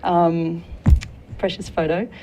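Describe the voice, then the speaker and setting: A woman's voice: on the low side, steady tone, slow pace, dull audio. An Australian woman in her forties, an enthusiastic career consultant talking as a guest in a studio interview. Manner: warm, enthusiastic, laughs often.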